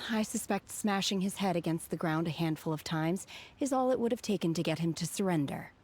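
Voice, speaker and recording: An actress playing an aloof, blunt young woman from the mountains in a video game, speaking calmly and formally. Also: fairly low pitch, a little fast, slightly rough. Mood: cool, matter-of-fact. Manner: calm, formal, blunt, sighing. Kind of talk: anime-style video game voice acting.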